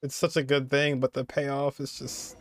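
A man's voice: mid-range voice, monotone, moderate pace, a little hiss or hum. A young man in his twenties casually commenting as he reacts to a game, informal and emotionally invested. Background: game music.

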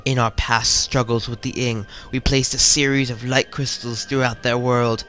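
A man's voice: medium pitch, flat delivery, a bit bright, faint music behind, close to an everyday voice. Man putting on a grave British alien sentinel. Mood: solemn. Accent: put-on British. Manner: grave, measured, formal recitation. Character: solemn dead alien temple sentinel spirit.

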